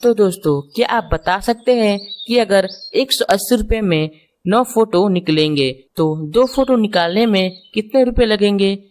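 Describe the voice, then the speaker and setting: A woman's voice: medium pitch, a little slow, darkish tone. A woman reading scripted children's stories and puzzles, explaining things and speaking directly to the viewers. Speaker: narrator.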